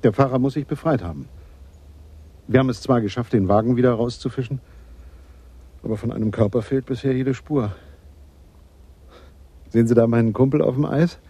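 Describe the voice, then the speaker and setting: Male traffic cop for radio drama, actor, plain-spoken and factual, rough mellow voice, very dry sound. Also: medium pitch, fairly quick, long pauses, no room sound, lightly acted. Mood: businesslike. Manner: matter-of-fact reporting.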